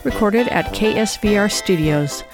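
A woman's voice: mid-range voice, lively intonation, unhurried. A woman announcer reading scripted radio drama credits. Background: music.

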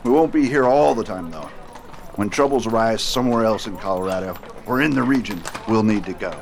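Low-voiced, steady western marshal. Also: male voice, a little fast, creaky. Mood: cordial, businesslike. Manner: calm, commanding. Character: steady, authoritative male US marshal in the West. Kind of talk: western radio drama acting. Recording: faint outdoor ambience behind.

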